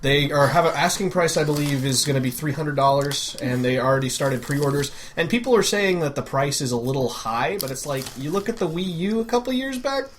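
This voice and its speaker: A man's voice: on the high side, expressive, a little fast, few pauses. Man chatting casually, noisy recording. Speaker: podcast host. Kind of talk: casual chat. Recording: clear background noise, clicks.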